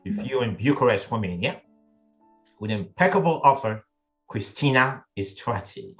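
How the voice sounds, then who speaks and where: A man hosting an interview, making measured, formal introductions. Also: mid-range voice, animated, a bit bright, soft background music. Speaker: interview host. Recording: dull lo-fi audio.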